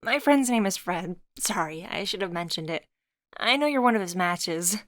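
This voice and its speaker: A woman's voice: on the low side, lively intonation, normal speed, a bit bright, no echo, clean studio sound. A woman voicing a friendly, curious and forthright young woman with an upbeat, chatty delivery in a story. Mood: cheerful.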